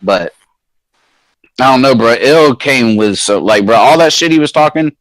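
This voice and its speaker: A man's voice: medium pitch, lively intonation, moderate pace, no echo, rough recording. Man arguing over battle rap, slangy. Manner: slangy, hesitant, repeats himself while arguing. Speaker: podcast co-host. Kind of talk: casual podcast chat.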